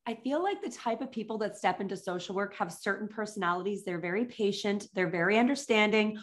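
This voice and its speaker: A woman's voice: mid-range voice, lively intonation, brisk. A woman interviewer hosting a conversation in a casual, conversational style. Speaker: interviewer, host.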